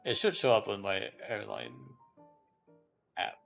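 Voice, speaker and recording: A man's voice: on the low side, wildly swooping pitch, measured pace, gravelly, fairly bright tone, faint music behind. A man chatting casually on a livestream, thinking aloud in a hesitant, rambling way and often repeating himself. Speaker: live streamer.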